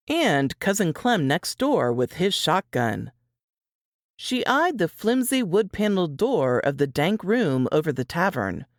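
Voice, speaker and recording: A woman reading scripted fiction as an audiobook narrator, in an expressive storytelling style. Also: lowish voice, no room sound, studio quality.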